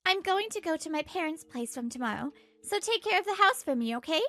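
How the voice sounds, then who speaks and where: A woman's voice: very high-pitched, animated, a little fast, pushed and bright, heavily acted. A woman voicing an earnest pregnant wife who narrates a manga dub, fed up but hopeful. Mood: wary.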